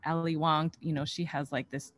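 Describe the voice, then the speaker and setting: A woman's voice: deep voice, little pitch movement, normal speed, no echo. A woman in her thirties on a video call as a panelist author, talking earnestly outdoors with many likes. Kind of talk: casual panel discussion. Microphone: webcam on video call.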